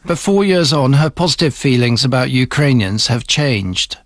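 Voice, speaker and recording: A man working as a radio reporter, reading a scripted news report in a measured journalistic style. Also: mid-range voice, animated, no echo, clean studio sound.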